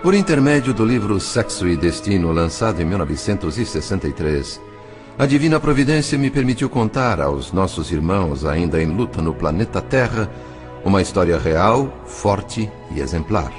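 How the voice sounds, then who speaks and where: A man with a Brazilian accent playing an earnest, gentle spirit narrator, telling the story in a solemn, measured audiobook style with drawn-out phrase endings. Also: deep voice, sing-song. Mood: solemn greeting. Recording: studio narration with music underneath. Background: music.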